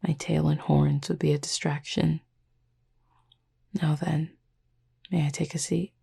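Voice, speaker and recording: Woman playing a low, husky, formal demon roommate. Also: level tone, exaggerated voice. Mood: calm, caring. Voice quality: husky, breathy, gravelly low voice. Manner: formal, measured, softly teasing speech. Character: calm, formal, gently teasing cupid demon roommate with horns. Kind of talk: soft-spoken roleplay sleep-aid audio.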